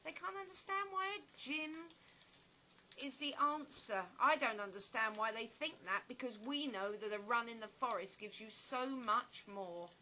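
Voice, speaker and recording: A woman playing a chatty, opinionated, nostalgic stage actress in an audio play, with a dramatic, expressive storytelling manner. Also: a little high, normal speed, slight background noise. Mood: nostalgic, earnest.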